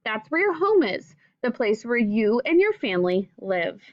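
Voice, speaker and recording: Female primary-teacher read-aloud voice, highish and very lively in pitch, addressing kids directly; dry, slightly dull lo-fi recording. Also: normal speed, no room sound. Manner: addresses young listeners directly with simple questions. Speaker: teacher reading a picture book aloud. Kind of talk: children's read-aloud with questions and asides.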